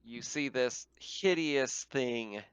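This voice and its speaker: A man's voice: mid-range voice, slow pace, fairly bright tone, smooth, dry recording. A man speaking casually as game master, narrating and refereeing a tabletop roleplaying game, swearing freely, on a slightly muffled microphone.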